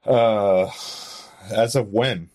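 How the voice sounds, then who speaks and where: A man with a slightly low-pitched voice talks as an interview guest. His delivery is casual and conversational, like someone explaining a creative hobby off the cuff. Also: extremely slow, darkish tone. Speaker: podcast guest, audio drama creator and voice actor. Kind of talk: casual unscripted interview chat.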